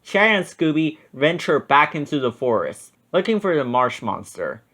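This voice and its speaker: Someone reading a kids book out loud, super animated, medium pitch voice. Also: normal speed. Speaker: storybook reader. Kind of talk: animated children's story narration.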